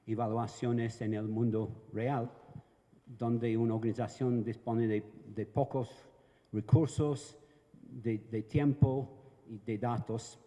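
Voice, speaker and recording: An older man with a Venezuelan-tinged Spanish accent, giving an unhurried, plain-spoken conference talk in a large hall. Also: medium pitch, fairly even intonation, warm tone. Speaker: conference panelist. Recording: long hall reverb.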